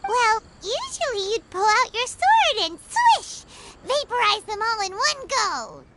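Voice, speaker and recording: A woman's voice: very high-pitched, sing-song, measured pace, heavy background noise, exaggerated voice. A woman voicing a tiny, chatty floating companion sprite in a game, blunt and full of exclamations. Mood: chirpy, curious. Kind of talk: game dialogue. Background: game music.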